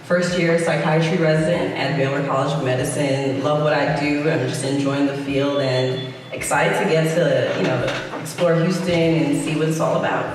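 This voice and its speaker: A woman's voice: low-pitched, fairly even intonation, moderate pace. A young woman from Brooklyn, talking as a guest on an interview podcast in an upbeat, chatty way with lots of fillers. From Guyana, raised in Brooklyn. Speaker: guest, psychiatry resident. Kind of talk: casual interview chat. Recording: echoey far-off room with noise.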